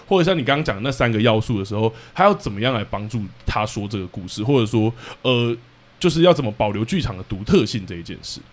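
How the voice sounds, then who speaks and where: A man's voice: fairly low pitch, expressive, fast. A man with a Taiwanese accent hosting a casual interview, putting questions to his guest. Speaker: podcast host, interviewer.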